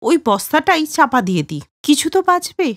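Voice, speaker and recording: A woman's voice: sits high, sing-song, a little fast, a little hiss or hum. A woman voicing a poor, kind snack seller in a cartoon, earnest in tone. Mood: worried.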